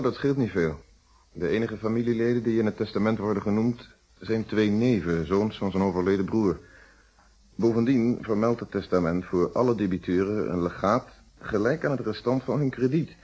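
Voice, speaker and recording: An actor playing a calm, probing, wry male police inspector in a radio detective play, speaking Netherlands Dutch with polite, measured phrasing. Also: lowish voice, slightly rough. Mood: wry, explanatory. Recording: dull, muffled radio drama recording.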